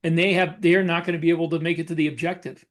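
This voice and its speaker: A man co-hosting a podcast, explaining his points conversationally in short, deliberate phrases. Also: fairly high pitch, level tone. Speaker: podcast co-host and novelist. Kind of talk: casual explanatory discussion.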